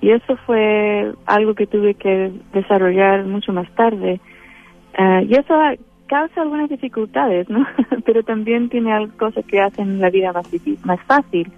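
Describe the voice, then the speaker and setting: A woman's voice: medium pitch, measured pace, faint music behind. A Chilean woman with a Chilean Spanish accent, a guest in a spiritual interview, who talks hesitantly with many fillers and restarts. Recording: tinny phone-line sound.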